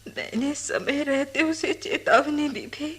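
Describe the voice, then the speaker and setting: An actress playing a grieving, fiery-tempered poor village widow in a radio drama, speaking with emphatic, reproachful emotion. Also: female voice, high voice, slow pace, fairly bright tone. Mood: restrained grief. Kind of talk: Hindi radio drama acting.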